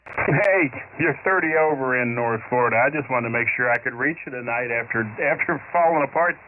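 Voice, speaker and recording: A man's voice: mid-range voice, fairly quick. Easygoing man over a noisy radio. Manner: easygoing and chatty, with fillers. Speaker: ham radio caller. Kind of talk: casual on-air radio chat. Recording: narrow shortwave radio signal with noise.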